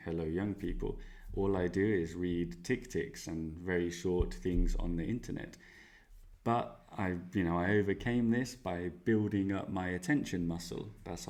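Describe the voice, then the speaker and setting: Man doing a young male student, Spanish accent, upbeat and jokey, low voice. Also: darkish tone. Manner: upbeat, jokey, boastful asides. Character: young male language student, cheerful and self-mocking. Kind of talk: role-played exam interview answers.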